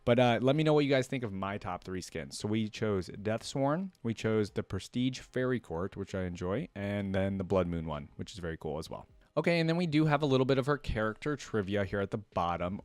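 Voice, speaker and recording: A man's voice: fairly low pitch, lively intonation, brisk, no echo. A man hosting a reaction video in a casual, chatty way, reading aloud and adding his own musing asides. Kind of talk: casual commentary, reading aloud from wiki trivia.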